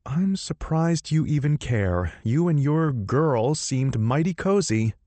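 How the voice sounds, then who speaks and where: A man's voice: fairly high pitch, animated, mellow, no room sound, voice pushed up. A man voicing a guarded, fiery young woman in an audiobook, gasping and exasperated. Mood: indignant. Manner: gasping, exasperated, quick to flare. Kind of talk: audiobook character voice.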